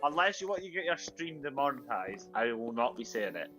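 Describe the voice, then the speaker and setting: British man, mid pitch, playful teasing gamer banter. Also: sing-song, brisk, over music. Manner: teasing, insistent, laughs often. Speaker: gaming stream guest. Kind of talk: casual gaming banter.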